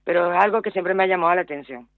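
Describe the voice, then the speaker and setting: Venezuelan lady on the phone, middle-aged, halting speech, repeats words, tinny dry sound. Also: on the low side, dry recording. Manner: hesitant, many fillers and repeated words. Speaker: guest, small business owner. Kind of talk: radio interview.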